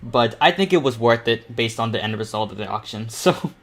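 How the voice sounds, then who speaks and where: A man's voice: medium pitch, animated, brisk, fairly bright tone. A young man talking casually through a live art tutorial, rambling a little and repeating himself in an informal, encouraging way. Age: teenager or young adult. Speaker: painting panel host and self-taught artist. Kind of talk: casual live tutorial commentary while painting.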